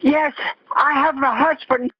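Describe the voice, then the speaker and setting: An older woman calling emergency services on the phone, distraught and shaken, speaking haltingly with exclamations. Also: high voice, steady tone, dry recording. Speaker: emergency caller. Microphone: telephone. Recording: muffled lo-fi telephone line.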